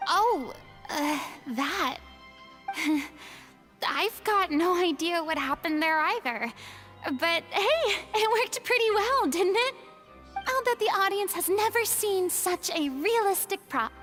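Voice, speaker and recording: A woman voicing a theatrical, proud young female ruler in a game cutscene, dramatic and quick to protest. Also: extremely high pitch, expressive, a bit bright, heavily acted. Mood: cheerful. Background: game music.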